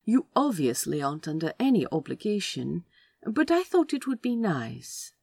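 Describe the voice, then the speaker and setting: A woman voicing a genteel, friendly man in a fan fiction audio story, speaking politely with a husky, creaky voice. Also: lowish voice, big pitch swings, moderate pace, a little mellow, dry recording, exaggerated voice. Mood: gently offering. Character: Aziraphale, genteel, friendly man who smokes on occasion. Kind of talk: fan fiction podfic character acting.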